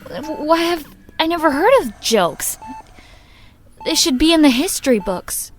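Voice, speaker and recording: High-voiced, earnest young granddaughter. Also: female voice, expressive, unhurried. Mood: curious, eager. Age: young adult. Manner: earnest, concerned. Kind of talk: audio drama acting. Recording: clear background noise.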